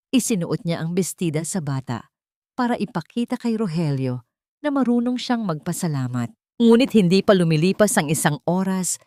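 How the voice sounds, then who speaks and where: Mellow, dark-voiced woman narrating drama. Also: lowish voice, no echo, clean studio sound. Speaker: audio story narrator.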